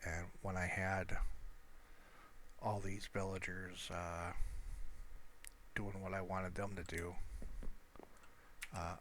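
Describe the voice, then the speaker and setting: Male gamer, low and forceful tone, casual halting commentary, thinking aloud, some background noise. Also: little pitch movement. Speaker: gamer narrating own gameplay. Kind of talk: casual, unscripted gameplay commentary.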